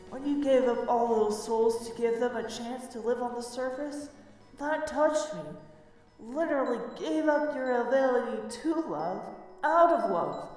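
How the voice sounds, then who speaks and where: A loyal, laid-back friend acted by a woman in an amateur cartoon drama, slangy and earnest. The voice is a little high and strongly echoing, with music softly behind. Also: natural voice. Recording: distant, heavily echoing hall, music behind.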